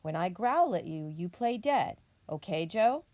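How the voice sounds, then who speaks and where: A woman's voice: lowish voice, lively intonation, a little slow, mellow, no room sound. A woman voicing a fretful, motherly possum with a firm manner in a children's storybook. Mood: worried.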